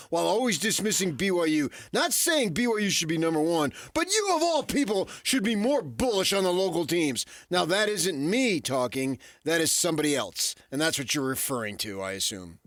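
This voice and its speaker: High-voiced, animated male sports co-host. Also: dry recording, studio quality. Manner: emphatic, repeats his points. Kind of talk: opinionated sports debate.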